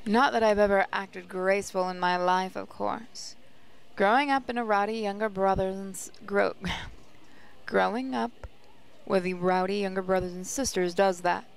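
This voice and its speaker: A woman's voice: medium pitch, fairly even intonation, moderate pace, creaky, smooth, rough recording. A woman reading visual novel narration aloud in a reflective, storytelling way. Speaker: gaming channel host, reader.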